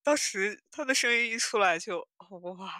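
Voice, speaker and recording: A woman podcast host with a bright voice of middling pitch chats like a smitten fan. Her delivery swings widely and is emphatic and swooning. The recording is dry. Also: animated, moderate pace, dry recording. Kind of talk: casual fan chat about audio dramas.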